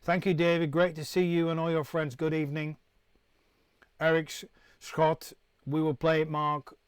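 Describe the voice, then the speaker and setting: A man's voice: a little high, flat delivery, normal speed, clean tone, no room sound. Man from the UK, middle-aged, casual live stream host, rambling, dry room. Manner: rambling, repeats words and restarts often. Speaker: musician hosting a live stream.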